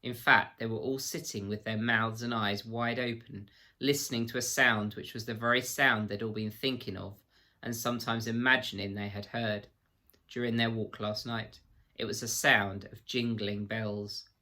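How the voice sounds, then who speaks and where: A man narrating a children's storybook in an expressive, measured storytelling manner, like a teacher reading to pupils. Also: fairly low pitch.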